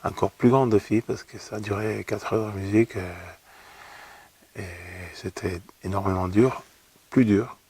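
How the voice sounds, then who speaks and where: Gravelly low man with an Argentine accent. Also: fairly even intonation, moderate pace, dark tone. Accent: Argentine Spanish. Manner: thoughtful, repeats words while searching for them. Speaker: opera singer guest. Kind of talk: relaxed interview talk. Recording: voice from a distant mic.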